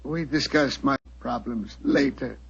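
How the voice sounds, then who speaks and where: An actor playing an ailing, courteous Indian maharaja in a 1940s radio drama, speaking halting broken English with a husky voice on a muffled recording. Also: male voice, medium pitch, exaggerated voice. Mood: grateful, tired.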